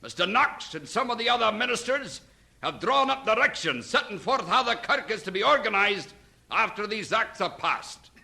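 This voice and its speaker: A man's voice: high voice, expressive, normal speed, gravelly, sharp tone, full character voice. An actor playing an emphatic nobleman who proclaims to Parliament in old radio drama oratory. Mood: solemn, proclaiming. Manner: declamatory, proclaiming.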